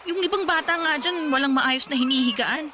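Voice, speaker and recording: High, whiny young Filipino mother played by an actress. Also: fairly quick, noisy background, natural voice. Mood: irritated. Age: young adult. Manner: whiny, complaining, talking back. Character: sullen, resentful young mother, whiny and defiant granddaughter. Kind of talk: Filipino radio drama acting.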